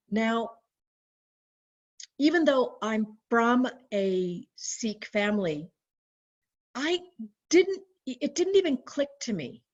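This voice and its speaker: A woman raised in Canada telling personal stories in a chatty way, with lively quoted voices and frequent restarts. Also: on the high side. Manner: chatty, animated when quoting, frequent restarts. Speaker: webinar guest. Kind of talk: casual storytelling recollection. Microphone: video call. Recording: dull lo-fi video call audio.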